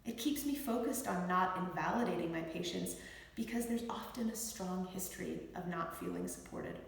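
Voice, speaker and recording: A middle-aged woman, a physician, reading her own book aloud in an expressive, measured and deliberate way. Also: on the low side. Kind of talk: expressive audiobook-style read-aloud. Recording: very echoey room with long reverb.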